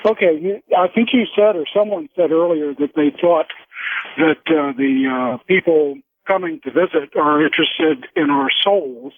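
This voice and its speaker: Male phone-in caller, raised pitch, casual, musing, halting delivery, narrow tinny telephone sound. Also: no echo. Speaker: call-in listener. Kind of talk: casual radio call-in chat. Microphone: phone call. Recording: thin, narrow phone line.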